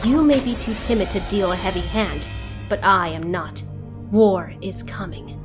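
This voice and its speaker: A woman acts out a ruthless female warlord of a ninja clan. She speaks proudly and formally in a mid-pitched voice, with menace. Loud music runs beneath this motion comic drama. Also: normal speed, natural voice. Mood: menacing. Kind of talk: motion comic fan dub acting.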